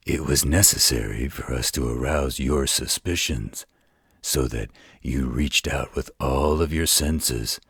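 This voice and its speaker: A blunt, wisecracking supernatural tough guy for a roleplay audio drama. His voice is extremely low and full of gravelly fry, very husky, with a laid-back, loyal air. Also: fairly bright tone, no echo, studio quality, exaggerated voice. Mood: conciliatory. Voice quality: gravelly, raspy.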